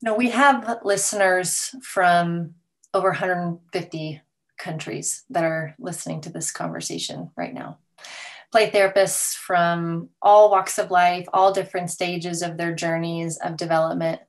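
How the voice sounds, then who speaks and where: A woman with a slightly low voice hosts a conversational podcast interview. She speaks haltingly, searching for words as she goes. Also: animated.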